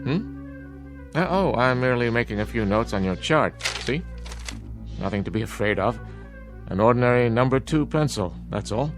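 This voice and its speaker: An actor in a radio drama playing a patient, frank doctor with a measured, polite, clinical manner. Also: male voice, medium pitch, expressive, music in the background, close to an everyday voice. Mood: soothing.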